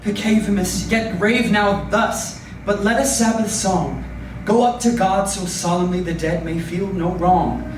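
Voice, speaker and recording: A man's voice: high-pitched, a little slow. A man giving a dramatic, declamatory reading of poetry in a theatrical manner. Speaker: performer of poetry. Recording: roomy, distant, noisy recording.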